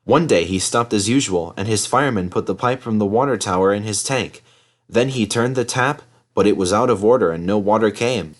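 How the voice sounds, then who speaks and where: A man's voice: fairly low pitch, a little fast. A man narrating a children's story as a scripted read-aloud. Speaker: storybook reader.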